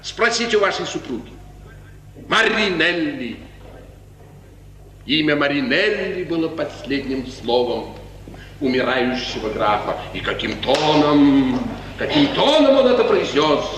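A smooth, scheming courtier speaks in formal, theatrical phrases full of false sympathy. His fairly high voice moves widely in pitch. It comes from an old 1950s radio play with plenty of noise. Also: very animated, measured pace, fairly bright tone. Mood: solemn, ominous. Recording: noisy 1950s radio recording, echoing hall.

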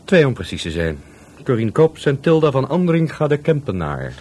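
Cheeky market trader, husky and animated. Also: male voice, mid-range voice, normal speed. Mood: cagey, flippant. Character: cagey, cheeky male market trader's associate. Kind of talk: Dutch radio play acting.

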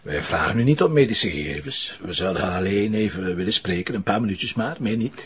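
A man's voice: fairly low pitch, lively intonation, fairly quick, breathy edge. A male actor playing an irreverent police commissioner in a radio drama, dry and teasing, with a Flemish accent. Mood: wry.

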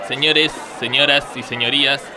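A man's voice: mid-range voice, steady tone, moderate pace, lightly acted. An Argentine man playing a deferential, earnest prosecutor in a comic radio play, speaking with mock solemnity. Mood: formal, earnest. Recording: noisy, with crowd behind.

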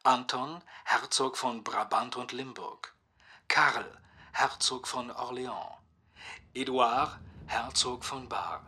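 A man with a rough, sharp voice formally announcing names and titles in a radio play. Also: medium pitch, slow, full character voice.

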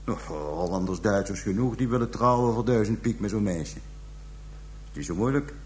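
An actor playing a callous pimp making a halting, coarse confession to police in a radio drama. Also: male voice, fairly low pitch, darkish tone, slight background noise, natural voice. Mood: halting, matter-of-fact. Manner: halting, hesitant storytelling, coarse slang. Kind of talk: radio drama acting, interrogation scene.

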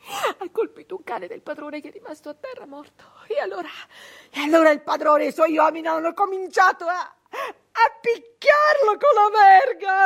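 An actress playing an anguished, defiant 19th-century Italian peasant brigand woman in a theatrical monologue, with emphatic repetitions and dramatic pauses. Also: very high-pitched. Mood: anguished, horrified. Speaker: stage actress performing a monologue.

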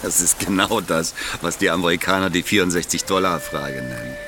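An actor playing an evasive, smooth-talking villain who feigns friendly surprise in a radio play. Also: male voice, low voice, a little fast, music in the background. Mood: falsely genial. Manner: falsely genial, feigning surprise.

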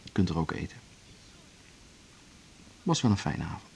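An actor playing a tender, wistful musician lover, murmuring hesitantly in a naturalistic radio play. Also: male voice, low voice, sing-song, moderate pace, creaky, darkish tone, slightly rough, heavily acted. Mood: wistful, affectionate. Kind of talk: naturalistic radio drama acting. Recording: faint hiss on 1980s radio recording.

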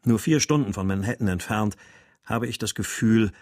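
An actor playing a troubled husband searching for his missing family, narrating and speaking in a measured, brooding way in a radio crime drama. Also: lowish voice, darkish tone, lightly acted. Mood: weary, reflective. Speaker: lead actor and first-person narrator.

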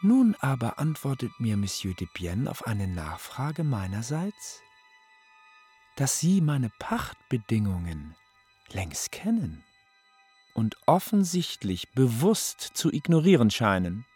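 A man's voice: mid-range voice, sing-song, darkish tone, husky, full character voice. An actor playing a courteous yet menacing male opera phantom, ceremonious and demanding, in for a radio play. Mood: coolly reproachful. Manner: ceremonious, deliberate. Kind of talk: German radio play, letter monologue. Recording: studio voice over music. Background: music.

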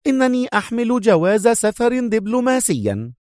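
A man's voice: very high voice, very animated, normal speed, darkish tone, no echo, voice pushed up. A defiant woman agent with a raised, pointed voice and a curt, mocking edge, as a male narrator puts her on. Heard in a dry audiobook recording. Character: defiant tough woman agent, sharp-tongued. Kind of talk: audiobook character voice.

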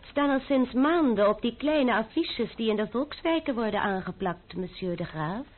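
High female voice, young imperious noblewoman, formal, ironic, old radio drama, muffled narrow-band sound. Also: expressive, normal speed. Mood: grave, ironic. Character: young, commanding aristocratic French mademoiselle, foreboding and ironic. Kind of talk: Dutch radio play acting.

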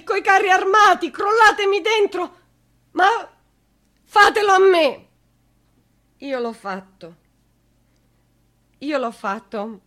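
A woman's voice: very high-pitched, a bit bright, pitched above the performer's own voice, exaggerated voice. An actress playing a desperate, grieving mother in a radio drama, pleading repetitively in wailing bursts with a southern Italian accent. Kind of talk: theatrical radio monologue.

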